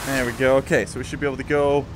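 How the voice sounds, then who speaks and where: A young man gives casual, excited commentary as he plays a video game, playful and full of exclamations. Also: medium pitch, very fast, noisy background. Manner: playful, exclamatory, talks along while moving in a VR game. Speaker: gaming video host.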